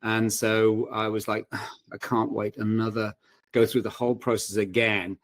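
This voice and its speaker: A man telling anecdotes as a panel guest, informal and halting, often correcting himself as he goes. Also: fairly low pitch, level tone, no echo. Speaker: panel guest, self-published author. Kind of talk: casual anecdotal chat.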